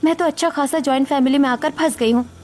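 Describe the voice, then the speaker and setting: A woman's voice: high voice, quick pace, a little mellow, slight background noise, natural voice. A woman playing an overworked, exasperated but polite young Indian daughter-in-law in a Hindi story drama. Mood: exasperated, complaining. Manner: complaining, polite to elders. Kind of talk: Hindi audio story dialogue acting.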